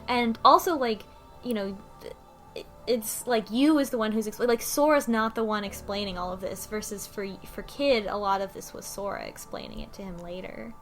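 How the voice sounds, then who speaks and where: A woman's voice: medium pitch, faint music behind. A woman casually recapping and discussing a story, often stopping to correct herself. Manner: halting, self-correcting. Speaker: podcast host.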